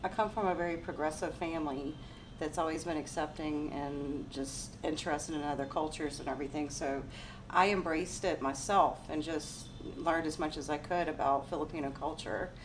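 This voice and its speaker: A middle-aged woman from Louisiana speaking gently about her daughter in an interview. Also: sits low, fairly even intonation, moderate pace. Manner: gentle, laughs between thoughts. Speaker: interviewee, mother. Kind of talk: casual interview. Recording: distant mic, noisy ambience.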